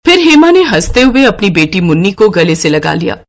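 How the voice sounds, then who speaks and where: A woman's voice: mid-range voice, big pitch swings, a little fast. A woman narrating a scripted moral story for a cartoon.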